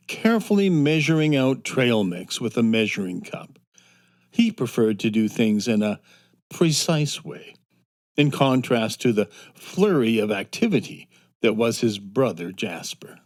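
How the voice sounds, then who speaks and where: A man narrating a children's story from a script in a measured, expressive storytelling manner. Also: mid-range voice. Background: soft music.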